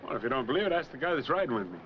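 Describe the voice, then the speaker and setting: A man's voice: mid-range voice, very animated, racing, slight background noise, lightly acted. A man playing a cocky, defensive truck driver in a 1940s film noir. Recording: muffled 1940s film soundtrack, music behind.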